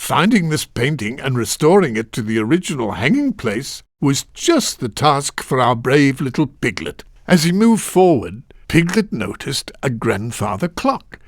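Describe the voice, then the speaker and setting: A man voicing a kindly, genteel storybook narrator in a children's game, speaking in a deliberate, expressive, old-fashioned way. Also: mid-range voice, dry recording, studio quality. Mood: mildly amazed.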